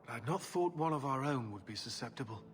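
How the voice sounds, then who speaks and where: Guy doing dutiful templar officer in a fantasy game, formal and earnest, medium voice, loud music behind. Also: medium pitch, expressive, quick pace, natural voice. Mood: earnest, troubled. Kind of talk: video game voice acting.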